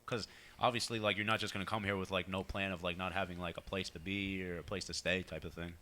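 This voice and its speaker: This podcast host from Connecticut talks in a lowish voice with a bright edge. He keeps the chat loose and jokey and pads almost every sentence with "like.". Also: little pitch movement, fast talker. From Connecticut, USA. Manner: joking, filler-heavy, lots of "like". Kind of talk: casual chat.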